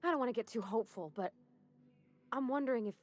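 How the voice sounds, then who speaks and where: A woman's voice: fairly high pitch, quick pace, a crowd in the background, close to an everyday voice. A woman playing an earnest, shy young ship's engineer in a video game, with folksy, hesitant phrasing. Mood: tender, hesitant. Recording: game audio under music.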